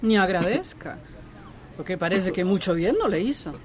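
Proud, animated village woman played by an actress. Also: lowish voice, moderate pace, lightly acted. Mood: offended, stern. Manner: curt, dignified. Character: proud, prickly village herbal-remedy woman. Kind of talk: radio drama acting.

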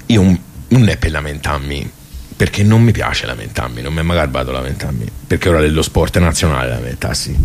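An actor playing a nostalgic, grumbling old social-club regular in a radio soliloquy, with a Tuscan accent and a colloquial, halting, rambling delivery full of rhetorical asides. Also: male voice, a bass voice, gravelly, a little hiss or hum, heavily acted. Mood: wry, resigned. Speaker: stage actor. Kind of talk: theatrical radio soliloquy, conversational.

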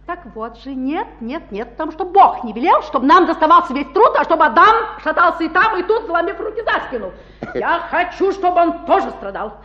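Old radio drama: shrill scolding housewife, sky-high pitch, aggrieved and relentless, muffled lo-fi recording. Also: female voice, big pitch swings, brisk, pushed and bright, exaggerated voice. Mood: indignant. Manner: scolding in rhymed, piling-up complaints. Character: shrill nagging hard-working middle-aged wife, scolding and aggrieved. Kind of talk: theatrical radio play tirade. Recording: dull lo-fi 1960s radio recording.

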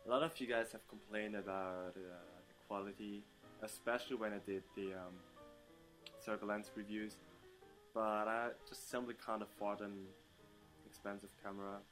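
Young German man, relaxed and hesitant. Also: lowish voice, normal speed, soft background music. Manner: hesitant, many ums, bursts into playful loud laughter. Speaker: interview guest. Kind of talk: casual interview chat.

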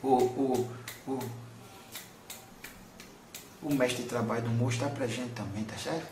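A wise, soothing old grandmother spirit, as a male medium speaks for her, counselling in a tender voice of middle pitch. She keeps calling her listener son. The sound bounces around an echoey room with a faint hiss underneath. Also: steady tone, measured pace, mellow, lightly acted. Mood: gentle.

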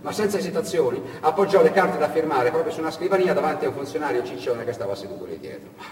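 Echoey, distant male stage storyteller. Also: on the high side. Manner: expressive, swinging from hushed to shouted, with dramatic pauses. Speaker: storyteller, theatre monologue performer. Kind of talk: dramatic theatrical narration of a story. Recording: echoey hall, far from mic, noisy.

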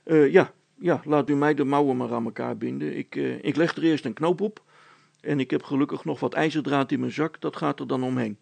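A good-natured handyman from a storybook, acted out by a male reader. He is practical and busy, muttering in short hesitant bursts. His voice is gravelly and a bit raspy. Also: medium pitch, fairly even intonation, brisk, a little mellow, dry recording.

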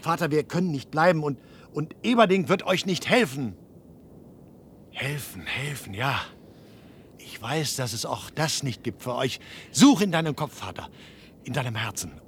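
A man's voice: on the high side, expressive, moderate pace, long pauses, a bit bright. An actor playing an earnest, desperate younger man in a radio play, urgent and imploring, pleading with his father. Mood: earnest, insistent. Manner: urgent, imploring, repeats phrases. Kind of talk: German radio play acting. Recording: noisy old radio play with crowd.

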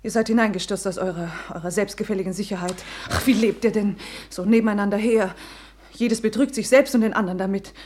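An actress playing a blunt, resentful younger sister and aunt in a German radio play, outspoken and reproachful. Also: female voice, mid-range voice, fairly even intonation, quick pace, a little mellow, close to an everyday voice. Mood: bitter, accusing.

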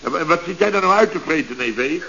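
A man's voice: fairly high pitch, expressive, fast talker, creaky, bright, forceful tone, full character voice. An actor playing a bumbling, self-important male contractor boss in a radio comedy, stammering and repeating himself as he gives orders. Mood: bossy, flustered. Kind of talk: 1970s Dutch radio comedy serial acting. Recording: dull, noisy vintage radio recording.

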